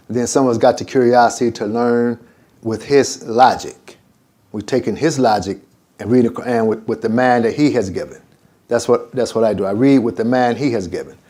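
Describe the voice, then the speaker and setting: An older man teaching an informal religious lesson as imam, wandering off topic and acting out conversations. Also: medium pitch. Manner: animated and digressive, acts out conversations.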